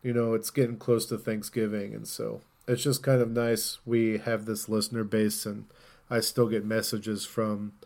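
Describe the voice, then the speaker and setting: A man's voice: on the low side, flat delivery, normal speed, gravelly, darkish tone. A man co-hosting a podcast, chatting casually about gaming news in an informal, rambling way. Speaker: podcast host.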